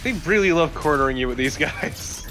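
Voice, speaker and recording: A man's voice: fairly high pitch, animated, normal speed. Hyperbolic male gamer over faint music. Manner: joking, hyperbolic ranting. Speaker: let's play co-commentator. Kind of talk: casual gaming commentary.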